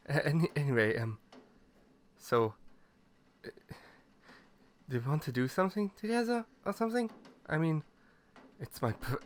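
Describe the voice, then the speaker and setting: A man's voice: mid-range voice, close to an everyday voice. A man playing a shy, clueless young catboy pet in a roleplay audio drama, hesitant and stammering as he talks to the listener. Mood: nervous, eager. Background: ambient noise, rain.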